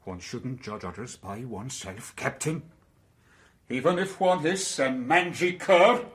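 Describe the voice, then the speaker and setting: Gentleman played by a male actor, radio drama, reserved, formal, clipped, cutting, medium pitch. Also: unhurried, lightly acted. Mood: tense, cutting.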